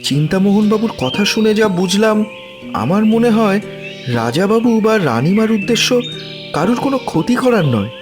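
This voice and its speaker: Guy doing a smart polite young cartoon detective, high pitched, bouncy, confident. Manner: confident, reasoning, courteous. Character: clever, polite, brave young male sleuth. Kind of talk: cartoon horror story acting.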